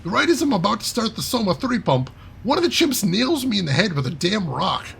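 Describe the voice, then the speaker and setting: A man's voice: sits high, sing-song, fairly quick, vocal fry, fairly bright tone, a little hiss or hum, pitched above the performer's own voice, full character voice. A man reading aloud and voicing a wry male hacker character who tells a self-deprecating heist story in a chatty way. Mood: rueful.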